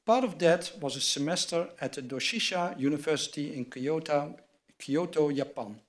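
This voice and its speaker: An older man in a large wood-panelled hall, reading formal award citations from notes at a lectern microphone. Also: on the high side. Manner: measured, reads from a script at a lectern. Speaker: award presenter at a university ceremony. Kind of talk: formal ceremony address read from notes.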